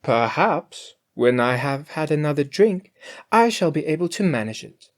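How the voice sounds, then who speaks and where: A woman's voice: sits low, lively intonation, normal speed, pitched above the performer's own voice. A storyteller voicing a cheeky, greedy female cook from a storybook, merry, emphatic and full of excuses for herself. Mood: smug. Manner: emphatic, exclaiming. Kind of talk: storybook character voice.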